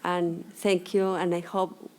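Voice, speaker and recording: Halting woman commenting from the audience. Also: on the low side, flat delivery, rough recording. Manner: halting, searching for words. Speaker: audience member. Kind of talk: question period comment.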